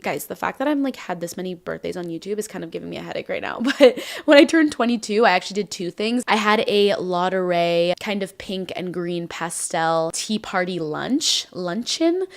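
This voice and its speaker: A woman's voice: fairly low pitch, animated, moderate pace, gravelly, hardly any pauses. Chatty young woman podcaster. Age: 20s. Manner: chatty, bubbly, lots of "like" fillers. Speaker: podcast host. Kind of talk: casual solo podcast chat.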